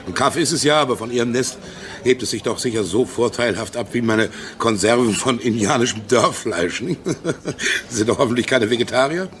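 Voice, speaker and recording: A man's voice: mid-range voice, moderate pace, a bit bright, close to an everyday voice. An actor playing a brash, jovial middle-aged salesman and war veteran in a radio drama, chatty and crude-tongued. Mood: jovial, pushy. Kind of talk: German radio crime drama acting.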